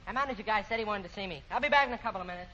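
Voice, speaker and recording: An actor playing a nervous, eager young kid jockey in a 1940 radio drama, polite and stammering. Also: male voice, sits high, racing, sharp tone, a little hiss or hum. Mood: excited, shaky. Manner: stammering, deferential. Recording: dull vintage 1940 radio recording.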